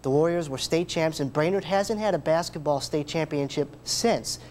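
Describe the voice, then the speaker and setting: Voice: medium pitch, moderate pace, darkish tone, a little hiss or hum. A news anchor reading a scripted story in a broadcast delivery.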